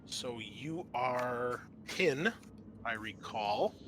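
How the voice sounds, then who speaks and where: A man's voice: medium pitch, lively intonation, very slow, a bit bright, a little hiss or hum. A man narrating an improvised tabletop game as game master, hesitating as he searches for words.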